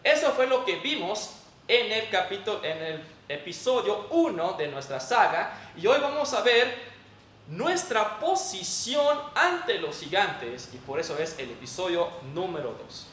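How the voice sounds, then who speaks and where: A man's voice: high-pitched, big pitch swings, unhurried, fairly bright tone. A man with a Mexican accent preaching a sermon in an emphatic, didactic way. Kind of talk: sermon with Bible reading and prayer. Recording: echoey room, distant mic, faint noise.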